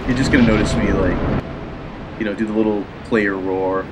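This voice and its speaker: A man's voice: on the low side, normal speed. Stuffy-voiced man commenting on a game. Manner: chatty, rambling, explains as he plays. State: stuffy from a cold. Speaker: let's play host. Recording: steady background noise.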